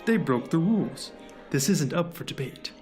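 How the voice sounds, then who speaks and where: A man's voice: on the high side, animated, normal speed, a little mellow, soft background music, close to an everyday voice. A man playing a stern, by-the-book agent in an audio drama, curt, dry and commanding.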